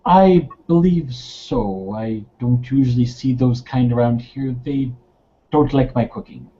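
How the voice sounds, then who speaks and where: A courteous male restaurant keeper in a tabletop game, acted by a man. His voice is soft-toned and dark, with modest, dry humour. The audio is dull and lo-fi. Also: medium pitch, a little slow, close to an everyday voice. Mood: polite, dry. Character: polite, modest male restaurant owner, drily humorous. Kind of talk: improvised tabletop roleplay. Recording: dull lo-fi voice recording.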